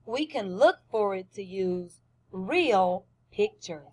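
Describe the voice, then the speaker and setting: A woman's voice: mid-range voice, animated, takes it slowly, breathy edge. A woman teacher giving a lesson to young children, explaining simply, repeating points and asking questions. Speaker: first-grade teacher.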